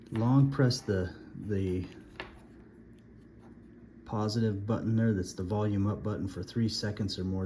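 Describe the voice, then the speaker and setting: A man with a fairly low voice talks through a product review in a casual, plainspoken way. He instructs step by step, as in a hands-on demonstration. There is some background noise in the amateur recording. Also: mellow.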